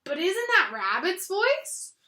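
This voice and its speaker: A woman's voice: very high-pitched, big pitch swings, takes it slowly, sharp tone, pitched above the performer's own voice, exaggerated voice. A woman voicing a cheerful, simple, greedy male bear who hums in a sing-song way. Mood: eager.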